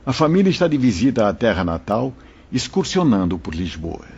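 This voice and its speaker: An actor playing a wise spirit mentor who recounts events to listeners in a solemn, explanatory manner in an audio drama. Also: male voice, medium pitch, sing-song, a little fast, a little mellow, husky. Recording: muffled lo-fi audio.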